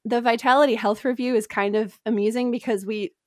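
Guest, young woman, higher voice, upbeat giggly storyteller, dry close mic. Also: fairly even intonation, brisk, darkish tone, dry recording. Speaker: podcast guest. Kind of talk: casual chat.

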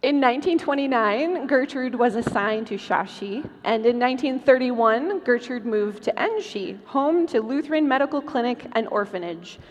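A woman's voice: fairly high pitch, lively intonation, normal speed, few pauses. A woman host reading scripted narration as part of a live comedic stage show. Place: large convention hall, live stage. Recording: noisy hall with long reverb.

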